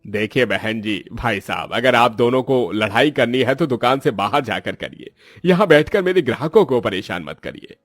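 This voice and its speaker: Husky, brusque shopkeeper played by a man. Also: medium pitch, lively intonation, moderate pace, few pauses, dry recording, natural voice. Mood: annoyed. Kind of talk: cartoon voice acting.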